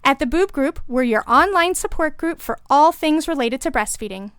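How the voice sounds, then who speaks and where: A woman hosting a parenting podcast in a studio, reading her announcements and introductions in a bright, clear way. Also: high voice, expressive, normal speed, no room sound. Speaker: podcast host and lactation consultant. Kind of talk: scripted show hosting.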